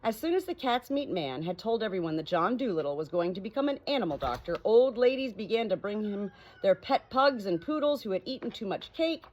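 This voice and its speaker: A woman narrating a children's audiobook in an expressive storybook reading style. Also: mid-range voice, brisk, few pauses, a little hiss or hum, rough recording.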